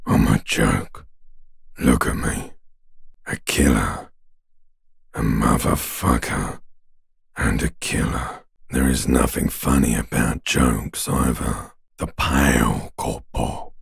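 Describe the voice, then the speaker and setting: A man voicing a morbid, taunting undead creature in a video game, with a gravelly, raspy growl and a drawling, crude, mockingly intimate manner. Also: extremely low pitch, fairly even intonation, slow, no room sound, studio quality, exaggerated voice. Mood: bleak, taunting. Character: male ancient reptilian brain and talking corpse, taunting, morbid. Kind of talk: video game character voice acting.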